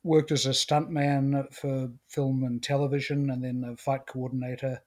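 A middle-aged man with a New Zealand accent, a guest in a casual interview, talking in a relaxed, thoughtful way. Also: medium pitch, moderate pace.

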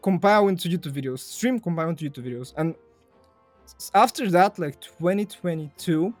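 A man's voice: a little high, animated, fairly quick, faint music behind. Young man. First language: Bulgarian. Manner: chatty, laughs easily, repeats phrases. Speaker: guest. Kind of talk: casual podcast interview.

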